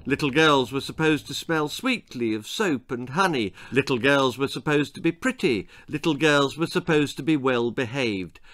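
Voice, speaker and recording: A man reading a scripted children's story as its author, in a dramatic, comic, emphatic manner with pauses. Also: mid-range voice, animated, fairly bright tone.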